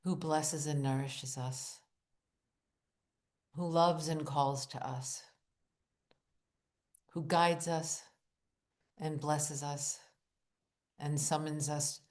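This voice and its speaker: A woman with a low voice leads a guided meditation at a very slow pace. She sets down short, deliberate phrases and leaves long pauses between them. Her delivery is hushed and soothing, with an incantatory repetition. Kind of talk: guided visualization, meditative narration.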